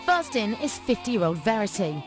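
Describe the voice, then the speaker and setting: A woman delivering scripted voice-over narration for a talent show, brisk and upbeat. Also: medium pitch, very animated. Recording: voice-over over music.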